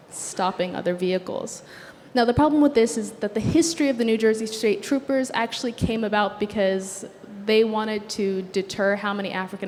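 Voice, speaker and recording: A young woman designer, originally from Sudan with Arabic as her first language, giving an earnest, measured talk on a conference stage. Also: medium pitch, a little mellow. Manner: earnest, measured delivery with occasional fillers. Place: conference stage in a large hall. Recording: long hall reverb, faint background noise.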